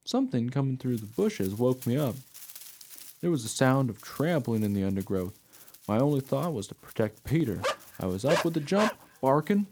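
A man's voice: mid-range voice, very animated, moderate pace, a little mellow, slight background noise, close to an everyday voice. A man playing an honest pet dog who tells his own tale in a radio play, earnest and plain-spoken. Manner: plain-spoken, artless storytelling. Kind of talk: first-person radio play narration.

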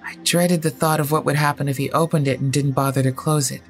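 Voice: mid-range voice, steady tone, fast, faint music behind. A storyteller giving a dramatic first-person narration of a horror story.

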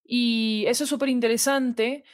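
A woman's voice: on the high side, steady tone, moderate pace, no echo, studio quality. A woman in a conversational discussion, speaking in an articulate, explanatory and emphatic way. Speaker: interview guest, fiction podcast specialist.

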